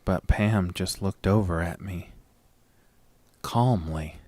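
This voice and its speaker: Male actor horror story narrator, deep low pitch, slow pace, anxious haunted man, deliberate suspenseful delivery. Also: a little mellow, no echo. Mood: tense dread. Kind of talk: scripted first-person horror narration.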